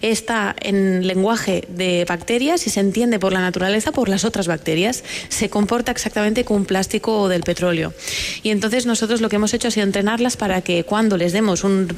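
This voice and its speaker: A woman's voice: mid-range voice, fairly quick, a little hiss or hum. Spanish woman explaining plainly and informally. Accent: Spanish from Spain. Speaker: scientist entrepreneur, award-winning guest. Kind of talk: conversational interview explanation.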